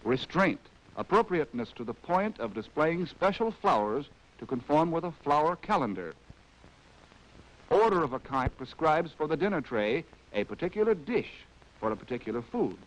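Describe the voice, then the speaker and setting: A man's voice: a little high, big pitch swings, normal speed, low-quality recording. An American man narrating a scripted 1940s training film in a wry, arch, theatrical way, on a vintage film soundtrack.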